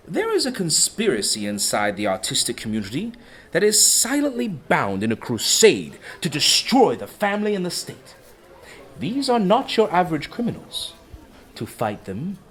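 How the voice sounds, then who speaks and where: An actor playing an earnest, cultured plainclothes detective in a radio play, speaking formally and emphatically. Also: male voice, on the high side, a bit bright, a little hiss or hum, heavily acted. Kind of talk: dramatic radio dialogue.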